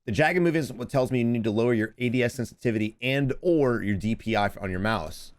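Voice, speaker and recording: A man in his thirties giving casual coaching commentary over gameplay from a home streaming setup, his voice a little hoarse from streaming. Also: medium pitch. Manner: rapid, repetitive, advice-giving. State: slightly hoarse from screaming on stream.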